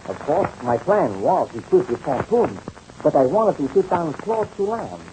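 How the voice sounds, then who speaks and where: A male actor playing a courteous aviator, formal and deliberate, in a 1930s radio drama. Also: fairly high pitch, very animated, warm tone. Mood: earnest, courteous. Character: courteous French aviator telling his tale of misadventure. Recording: noisy old radio transcription.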